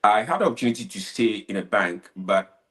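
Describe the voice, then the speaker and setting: A young man with a Ghanaian accent speaks as a guest in an interview. His voice is low. He answers in a casual, conversational style. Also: animated, fairly quick, a bit bright. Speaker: interview guest, student abroad.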